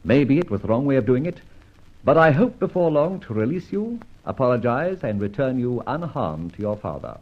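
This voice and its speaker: An actor playing a masked, mocking yet courteous gentleman abductor in an old-time radio detective drama, with a theatrical, urbane, teasing delivery. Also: mid-range voice, very animated, normal speed, muffled recording. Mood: contrite, earnest.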